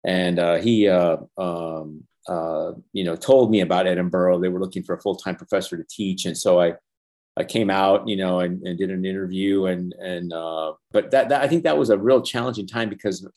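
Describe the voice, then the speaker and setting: An older man whose first language is Spanish, talking earnestly in a casual interview, halting with many restarts and fillers. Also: deep voice, steady tone, a little mellow. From Los Angeles. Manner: earnest, reflective, faith-centered. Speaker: animation professor and former feature animator, guest.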